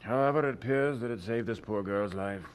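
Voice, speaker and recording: An actor playing a matter-of-fact, folksy doctor in an audio drama, calm, blunt and mildly sympathetic. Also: male voice, on the low side, brisk, natural voice.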